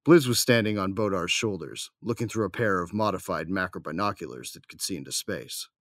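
An actor as an armored warrior narrating a sci-fi audio drama. He has a very deep voice, recorded dry and close, with a wry sense of humor. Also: brisk, fairly bright tone, dry recording. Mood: wry watchfulness. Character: armored male warrior, wry, affectionate, first-person narrator.

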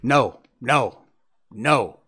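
A man voicing a fierce male dog in a storybook, excited and insistent in his fanatical devotion. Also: mid-range voice, drawn-out words, slightly rough, full character voice. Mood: malevolent refusal. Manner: excited, howling, outraged, insistent.

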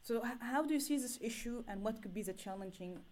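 A woman's voice: on the high side, animated, fast. A woman interviewing a guest, asking long loosely phrased questions and giving frequent murmured agreement. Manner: frequent soft backchannels, rambling questions.

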